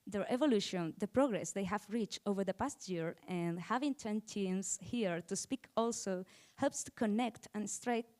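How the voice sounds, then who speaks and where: A woman hosts an event with a semi-formal welcome speech. Her voice sits at a middle pitch as she introduces the program and its speakers. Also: moderate pace, hardly any pauses, a little mellow.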